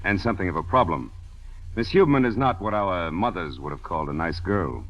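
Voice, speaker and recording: An actor playing a businesslike American government intelligence chief, brisk and dry, in a 1940s radio drama. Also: male voice, low voice, animated, a bit bright, heavily acted. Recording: muffled vintage radio broadcast.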